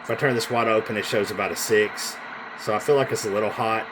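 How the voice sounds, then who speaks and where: A man casually demonstrating a radio he is selling, talking in an informal, halting, hands-on way. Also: lowish voice, fairly even intonation. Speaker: CB radio seller. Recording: noisy room with radio hiss.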